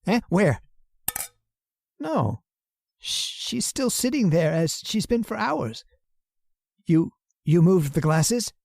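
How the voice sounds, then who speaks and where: An actor playing a weak, nervous husband with secret murderous intent in a radio horror drama, stammering and hesitant. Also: male voice, sits high, very animated, takes it slowly, vocal fry, pauses often, darkish tone, no echo, exaggerated voice. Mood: nervous, coaxing. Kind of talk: old-time radio horror acting.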